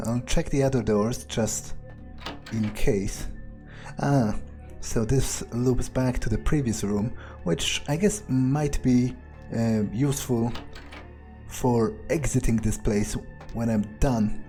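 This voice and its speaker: A man playing a cold, predatory vampire who thinks aloud in a halting, muttering way during a game. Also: medium pitch, warm tone, faint music behind, lightly acted. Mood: musing, puzzled. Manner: slow, halting, muttering to himself. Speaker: player role-playing a character. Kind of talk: in-character game playthrough monologue.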